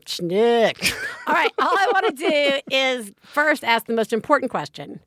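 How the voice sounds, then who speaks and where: A woman's voice: sits high, big pitch swings, measured pace, a bit bright, no echo, studio quality. A woman with a Southern US accent hosting a podcast in casual chat, rambling, laughing often and mocking herself. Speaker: podcast host.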